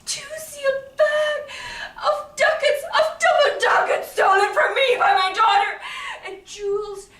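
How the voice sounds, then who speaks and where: A shrill, theatrical mocking gentleman. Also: flat delivery, unhurried. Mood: mock-outraged wailing. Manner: theatrical, exaggerated mimicry with cries and shouts. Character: gossiping Venetian gentleman gleefully mimicking an outraged miser. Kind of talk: Shakespearean staged reading. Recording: roomy, distant mic, faint noise.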